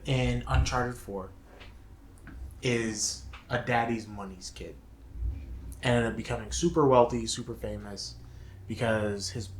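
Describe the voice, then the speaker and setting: A man co-hosting a casual review discussion, thinking aloud in a halting way. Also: medium pitch, fairly bright tone, slight background noise. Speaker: show co-host. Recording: roomy, echoing sound.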